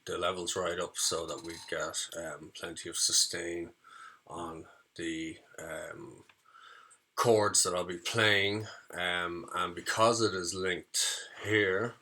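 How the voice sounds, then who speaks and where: A man with a low voice gives an informal, hands-on demonstration of a music setup. He talks hesitantly, thinking aloud as he explains each step. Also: bright, forceful tone. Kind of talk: informal hands-on software demo.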